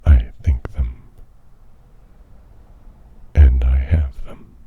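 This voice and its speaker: A man giving a hushed, mostly whispered ASMR monologue in short, musing phrases with long pauses. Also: a bass voice, steady tone. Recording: dull, muffled audio with faint noise.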